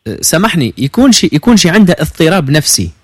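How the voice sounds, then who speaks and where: A man's voice: fairly high pitch, very animated, racing, slightly rough, no room sound. A Tunisian-accented man on a radio call-in show, asking careful, polite questions as a studio expert.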